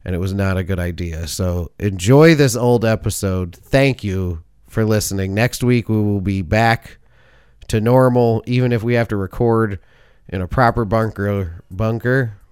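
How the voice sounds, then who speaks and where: Smooth-voiced joking podcast host man. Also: on the low side, measured pace, darkish tone. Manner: rambling and jokey, with self-corrections. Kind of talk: casual sports podcast monologue.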